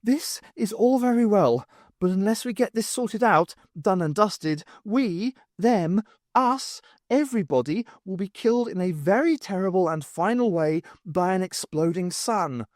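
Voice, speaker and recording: Man putting on a breathless, high-pitched adventurer woman. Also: lively intonation, measured pace, a little mellow, no echo, clean studio sound. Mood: exasperated, breathless. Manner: urgent, rambling. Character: plucky, exasperated adventurer woman, breathless and wry. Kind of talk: audiobook character voice.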